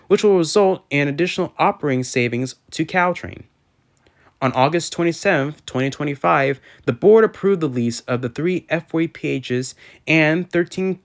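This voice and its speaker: A man with a voice a little on the high side narrates an informational video. He reads formally and carefully from a script, now and then tripping on a word and picking it up again. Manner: formal, careful reading with occasional stumbles. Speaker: railfan video host.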